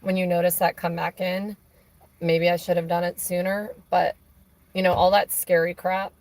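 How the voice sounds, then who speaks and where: Woman, hoarse raspy voice, somewhat low, casual rambling stream chat. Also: level tone, normal speed, a little mellow, no room sound. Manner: rambling, full of fillers and restarts. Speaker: live-stream host. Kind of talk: casual chat on a phone call during a stream.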